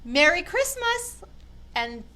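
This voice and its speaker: An older woman, a grandmother, gently reading a children's story aloud and talking to a child. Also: very high-pitched, big pitch swings. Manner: gentle, slow, speaking to a child.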